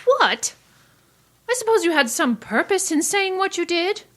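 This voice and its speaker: A woman's voice: extremely high pitch, big pitch swings, unhurried, a bit bright, heavily acted. A woman playing a hot-tempered, haughty young woman in her twenties in an old-time radio drama, speaking in a clipped, theatrical way. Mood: indignant. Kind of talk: old-time radio acting before a live audience.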